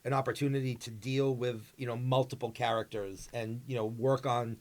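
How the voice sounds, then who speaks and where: Male drama teacher, medium pitch. Informal, conversational explanations as an interview guest. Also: level tone, normal speed, darkish tone. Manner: conversational, peppered with "you know".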